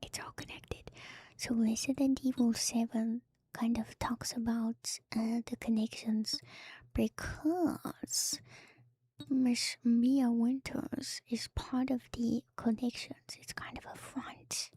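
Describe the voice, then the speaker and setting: A woman whispers softly with a mellow, dark softness, musing her way through ASMR commentary for stream viewers. She takes her time, slow and hushed, and keeps it intimate and quiet. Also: fairly high pitch, fairly even intonation, breathy edge, dry recording. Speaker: VTuber streamer. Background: music.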